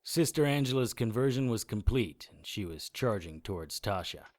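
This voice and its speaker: A man's voice: fairly low pitch, lively intonation, normal speed, lightly acted. A man playing a tough, defiant private investigator who hunts monsters, narrating an audio drama in a matter-of-fact, hard-boiled way. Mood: urgent.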